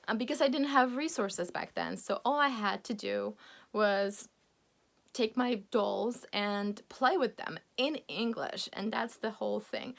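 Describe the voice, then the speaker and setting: A woman's voice: fairly high pitch, gravelly. A young woman from Poland, a native Polish speaker, giving a casual, encouraging English lesson to camera, laughing often. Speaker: English coach.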